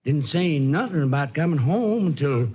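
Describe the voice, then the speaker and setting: An actor playing a chatty, easygoing dairy farmer with a folksy Texan drawl in a vintage radio drama. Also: male voice, fairly high pitch, animated, moderate pace, lo-fi sound, a little hiss or hum, close to an everyday voice. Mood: casual. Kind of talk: 1950s radio drama acting.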